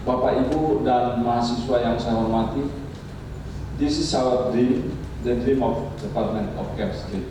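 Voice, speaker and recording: An older man, a native Indonesian speaker, giving a closing speech as a professor, pausing often with "uh". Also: mid-range voice, fairly even intonation. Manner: halting, with frequent "uh" pauses. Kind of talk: speech at a lecture podium. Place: university lecture hall. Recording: echoey hall from far off, noisy.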